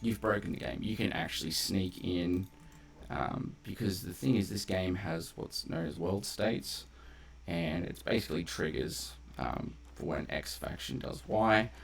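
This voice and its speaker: A man's voice: sits low, a little slow, gravelly. A man doing casual, rambling live gameplay commentary as a streamer, conversational and loose with swearing. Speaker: game streamer. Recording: faint background noise.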